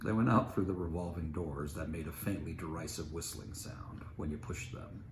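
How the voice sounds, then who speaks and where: Male storyteller, low-pitched, narrating prose; echoey room. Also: moderate pace. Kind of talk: story narration, reading aloud. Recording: roomy, noisy home recording.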